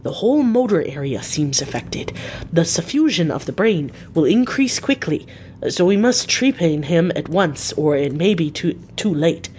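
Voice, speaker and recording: A narrator plays a learned old professor of medicine in a gothic storybook. He is grave and formal, choosing his words with quiet care, and the recording has a noticeable rumbling noise. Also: big pitch swings, moderate pace, natural voice. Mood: grave, urgent.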